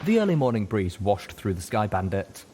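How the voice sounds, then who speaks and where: A man reading a scripted story aloud as an expressive audiobook narrator. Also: fairly low pitch, moderate pace, background noise.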